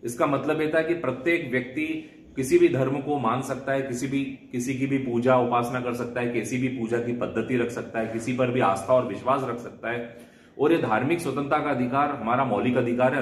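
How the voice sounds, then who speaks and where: A man's voice: medium pitch, fairly even intonation, fairly quick. An Indian man giving an explanatory law lecture in a didactic way, restating terms in two languages. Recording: echoey room, faint background noise.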